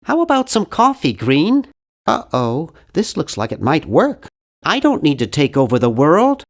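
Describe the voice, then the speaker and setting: A man's voice: fairly high pitch, huge pitch swings, a little slow, heavily acted. A man playing an earnest, awkward, nerdy young cartoon hero in an adventure game, with a nasal, geeky, carefully worded delivery. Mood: matter-of-fact, hesitant. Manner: geeky, over-articulate, earnest. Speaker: video game voice actor. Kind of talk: animated adventure game character lines.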